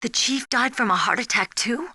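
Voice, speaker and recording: An actress playing a spirited, earnest young rookie soldier in a video game, speaking in a frank, expressive way. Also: female voice, fairly high pitch, moderate pace, breathy edge, no echo, studio quality, exaggerated voice. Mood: concerned, alert. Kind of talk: dramatic video game voice acting.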